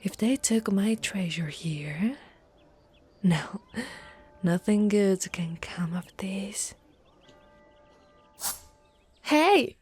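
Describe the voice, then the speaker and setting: Slow-speaking, fierce dragon knight played by a woman. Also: medium pitch, lively intonation, a little mellow, breathy edge, faint music behind, heavily acted. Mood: brooding, suspicious. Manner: theatrical, formal archaic phrasing, menacing and protective. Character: fierce, devoted female dragon knight guarding a princess. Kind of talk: dramatic ASMR roleplay monologue.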